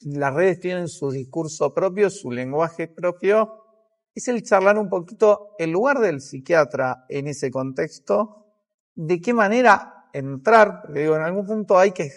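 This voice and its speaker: A man with an Argentine accent, a psychiatrist giving explanatory interview answers in a measured way, thinking aloud as he goes. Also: high-pitched, expressive.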